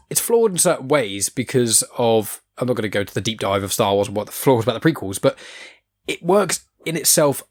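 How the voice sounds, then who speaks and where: A man hosting a podcast, chatting casually in an opinionated, rambling way and swearing freely. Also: medium pitch. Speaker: podcast host. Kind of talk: casual chat.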